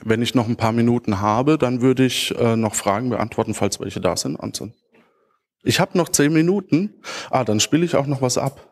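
A man's voice: medium pitch, moderate pace. Man in his thirties, informal conference speaker. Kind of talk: informal talk answering audience questions. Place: large auditorium stage. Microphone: handheld microphone.

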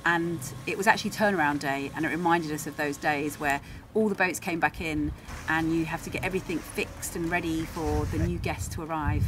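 A woman's voice: sits low, fairly even intonation, fairly quick. A woman in her forties narrating a casual sailing travel vlog, talking to camera. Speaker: travel vlogger, sailor. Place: outdoors on a harbour quayside. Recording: noisy outdoor waterside ambience.

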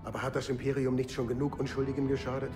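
An actor playing a calm, gentle and wise young mystic warrior in a German video game, speaking in a measured way. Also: male voice, medium pitch, over music, natural voice.

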